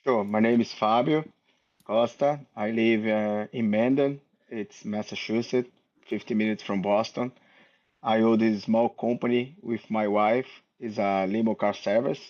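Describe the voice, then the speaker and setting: A man's voice: lowish voice, fairly even intonation, a little slow, a little mellow. A man from Brazil, a small business owner introducing himself on a video call, hesitant and full of fillers. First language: Portuguese. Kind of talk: casual video call chat. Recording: dull lo-fi video call audio.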